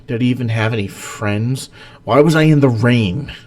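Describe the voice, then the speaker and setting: A man's voice: medium pitch, normal speed, darkish tone. A man who hosts and narrates stories, reading in an earnest, dramatic way and chatting casually about them. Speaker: host and story narrator.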